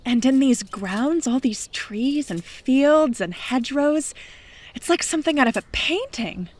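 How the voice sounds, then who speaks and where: A woman's voice: high voice, animated, measured pace. A woman playing a blunt, wisecracking house guest in an audio drama, with a dry, wry way of talking. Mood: enthusiastic. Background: music.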